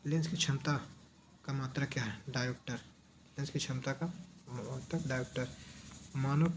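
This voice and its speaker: Male exam tutor, noisy recording. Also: mid-range voice. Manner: didactic, asks questions then repeats the answers. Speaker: exam coaching teacher. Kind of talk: question-and-answer exam revision lesson.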